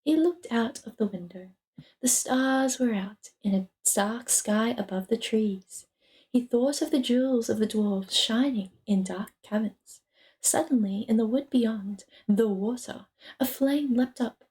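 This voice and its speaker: A young woman speaks as a streamer sharing a story reading. Her voice is on the high side, with a dark, mellow tone that has little sharpness. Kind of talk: dramatic storybook narration and casual chat.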